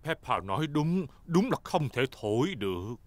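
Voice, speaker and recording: A man's voice: a little high, sing-song, moderate pace, no room sound. A man voicing a jolly, slightly boastful father pig in a children's cartoon, hearty and playful. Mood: proud.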